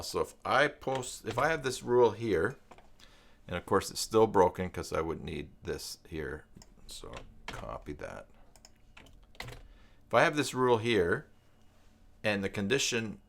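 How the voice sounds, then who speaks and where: A man hosting an informal screen-share tutorial, thinking aloud and reading out documentation in a halting, self-interrupting way. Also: on the low side, fairly bright tone. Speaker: live stream host giving a web tutorial. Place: at a desk by a computer.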